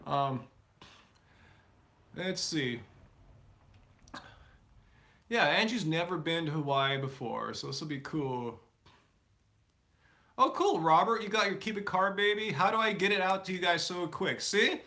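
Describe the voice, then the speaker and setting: A man's voice: high voice, animated, a little slow, pauses often, bright, forceful tone. A man hosting a live stream, answering viewer questions in a casual, rambling way with many fillers and restarts. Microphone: live stream setup.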